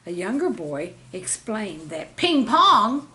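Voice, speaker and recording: A woman, a teacher, reading her own scripted humorous anecdotes in a deliberate storytelling manner. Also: mid-range voice, very animated, pushed and bright.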